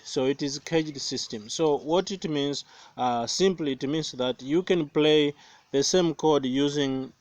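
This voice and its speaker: A young Tanzanian man whose first language is Swahili, giving a slow, careful guitar lesson outdoors with many pauses and fillers. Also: mid-range voice, darkish tone, dry recording. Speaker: guitar teacher. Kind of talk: guitar tutorial. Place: outdoors by banana plants. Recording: faint guitar playing behind voice.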